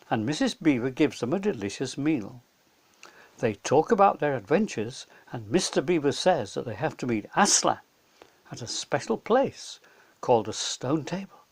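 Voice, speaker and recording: A man narrates a children's story from a script. His pitch moves around a great deal as he reads, giving the storytelling an animated shape. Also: normal speed, slightly rough. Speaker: storyteller, reader.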